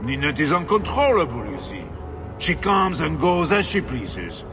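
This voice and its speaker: A man's voice: a little high, big pitch swings, unhurried, music in the background. A man playing a bitter, self-pitying nobleman husband in a video game, defensive and world-weary, sighing as he talks. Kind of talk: dramatic video game dialogue.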